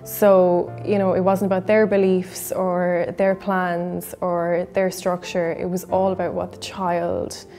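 Middle aged woman, gravelly flat voice, earnest, bit of music behind. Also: lowish voice, slow, few pauses. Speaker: music educator. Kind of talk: interview answers.

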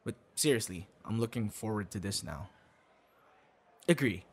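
A man's voice: lowish voice, animated, normal speed, slightly rough. A man acting a shy, kind young boyfriend in an ASMR roleplay, speaking conversationally with hesitations and stammers. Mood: warm, excited.

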